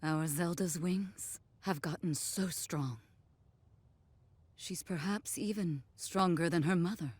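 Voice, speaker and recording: A woman playing a proud, protective warrior in a video game, husky and stately, with a motherly assurance. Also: on the low side, slow pace, a little mellow, no room sound. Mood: tender. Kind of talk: video game cutscene acting. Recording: faint music behind the voice.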